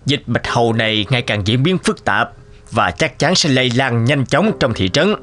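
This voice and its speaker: A man's voice: mid-range voice, lively intonation, normal speed, natural voice. A man voicing a grave, worried town official in a dramatic cartoon. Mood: grave, urgent. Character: grave, worried men in a snowbound town emergency. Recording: music behind the voice.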